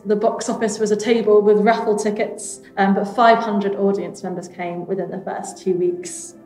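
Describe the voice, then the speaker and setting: A woman's voice: mid-range voice, a little mellow. A woman with a British accent narrating an audio tour as a theatre arts manager and guide. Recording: echoey room.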